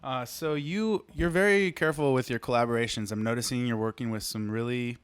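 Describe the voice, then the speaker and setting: A man's voice: mid-range voice, fairly quick, smooth, no echo. A man working as a music show host, asking questions in a casual interview style.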